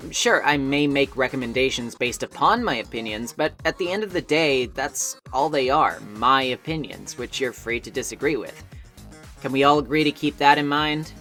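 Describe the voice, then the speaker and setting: A man's voice: mid-range voice, expressive, moderate pace, bright, forceful tone. A man reading a scripted video essay in an articulate, wordy way, with wry asides. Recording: music behind narration.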